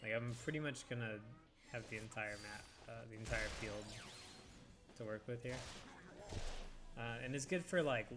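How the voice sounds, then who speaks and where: A man's voice: mid-range voice, moderate pace, long pauses. Congested young man, scratchy throat. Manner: rambling, hesitant with many fillers. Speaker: let's play host. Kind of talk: casual gameplay commentary. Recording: game music behind voice.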